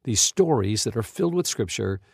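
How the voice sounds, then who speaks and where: Earnest man with a gravelly edge. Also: on the low side, lively intonation, a little fast, a little mellow, dry recording, clean studio sound. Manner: earnest, emphatic, exclamatory. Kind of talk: unscripted conversational talk with testimonies.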